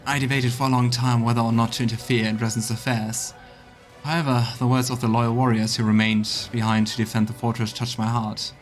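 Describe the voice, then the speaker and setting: A man voicing a solemn, wise gold dragon in healer guise from a fantasy game, with formal, measured speech. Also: mid-range voice, little pitch movement, close to an everyday voice. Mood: solemn, regretful. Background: game music.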